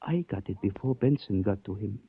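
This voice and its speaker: Courteous, menacing Chinese avenger, husky. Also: male voice, fairly low pitch, lively intonation, dry recording. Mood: grave, polite. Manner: formal, courteous, measured phrasing. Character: polite, menacing Chinese man avenging his murdered father. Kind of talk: 1940s radio detective drama acting. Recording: muffled 1940s radio recording.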